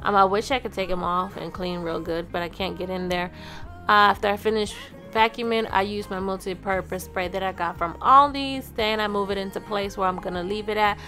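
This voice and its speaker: A woman narrates a home vlog in a chatty, informal way. Her voice is of average pitch for a woman, and faint music plays behind her as she talks straight to her viewers. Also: steady tone, brisk, bright, forceful tone. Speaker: family vlogger, host. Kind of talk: casual voice-over narration of cleaning.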